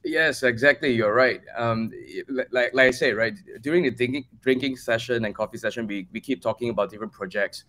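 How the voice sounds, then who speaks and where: A man's voice: medium pitch, brisk, hardly any pauses, fairly bright tone. A man in his forties with a Malaysian accent, answering interview questions casually over a video call. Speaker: guest, crypto project team member. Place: bright room at home, video call.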